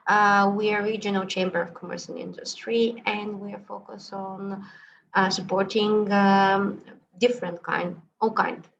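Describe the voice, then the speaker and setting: A woman's voice: mid-range voice, fairly even intonation, measured pace, gravelly. A woman from Bulgaria, introducing herself carefully on a video call, with fillers. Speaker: chamber of commerce staff. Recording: muffled video call with faint room noise.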